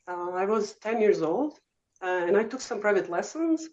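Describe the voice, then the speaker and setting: A woman's voice: mid-range voice, lively intonation, moderate pace, darkish tone. Serbian-accented woman, muffled audio. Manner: chatty, frequent "um" and "uh", laughs easily. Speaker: guest oboe professor. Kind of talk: casual interview storytelling.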